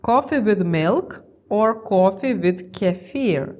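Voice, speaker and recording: A woman with a Lithuanian accent hosting a casual interview in English, speaking haltingly as she searches for words. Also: mid-range voice, lively intonation, darkish tone. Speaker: interview host.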